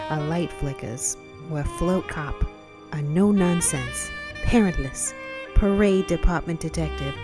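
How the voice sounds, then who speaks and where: A woman narrating a scripted noir radio drama as its announcer. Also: fairly low pitch. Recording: music bed under narration. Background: music.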